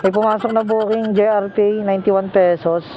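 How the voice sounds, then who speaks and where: High-voiced Filipino man, casual ride vlogger. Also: fairly even intonation, moderate pace, mellow, a little hiss or hum, rough recording. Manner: polite and jokey with passengers. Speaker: motorcycle taxi rider and vlogger. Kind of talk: casual ride vlog chat. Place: outdoors on a motorcycle on the road. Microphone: helmet intercom microphone.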